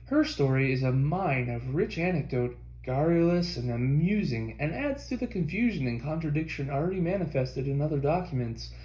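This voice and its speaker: A man's voice: on the high side, expressive, moderate pace, few pauses, dark tone. Roomy-sounding man reading history aloud. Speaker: audiobook reader. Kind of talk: scripted narration of a history book.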